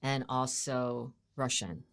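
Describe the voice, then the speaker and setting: Brisk, low-voiced woman podcast host. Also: smooth. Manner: brisk, playful, prompting questions. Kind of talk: casual interview chat.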